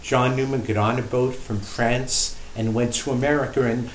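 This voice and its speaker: Roomy-sounding man, measured priestly storyteller. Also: medium pitch, fairly even intonation. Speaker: priest and shrine director interviewed as guest. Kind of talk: unscripted storytelling in a radio interview. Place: indoor room at a shrine. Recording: roomy echo, faint background noise.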